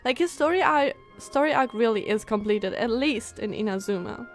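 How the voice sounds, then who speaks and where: A woman's voice: fairly high pitch, moderate pace. A young woman streamer giving casual, gushing live commentary on a video game. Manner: excitable, gushing, giggly. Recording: game music behind the voice.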